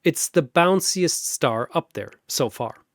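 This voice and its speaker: A man's voice: a little high, animated, slow, dry recording, clean studio sound. A man narrating a scripted fantasy battle story in a dramatic storytelling style.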